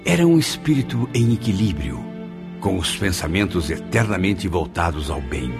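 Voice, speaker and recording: A man narrating a spiritual radio play in a solemn, measured way. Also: low voice, very animated, over music.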